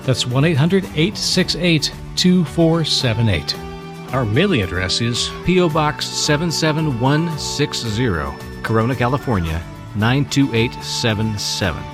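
Male radio host, mid-pitched, earnest and steady, light music bed. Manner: measured, earnest delivery. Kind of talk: dramatic story reading and radio talk.

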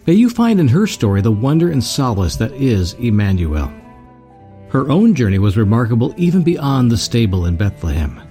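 A man's voice: medium pitch, very animated, normal speed, dark tone. A man hosting a radio program, reading a scripted introduction. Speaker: host. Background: music under the voice.

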